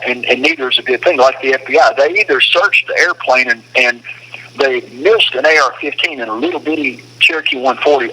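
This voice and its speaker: Bright, forceful man on a phone call. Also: medium pitch. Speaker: detainee speaking on a phone call from a detention facility. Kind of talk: casual opinionated conversation. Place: phone call from a detention facility.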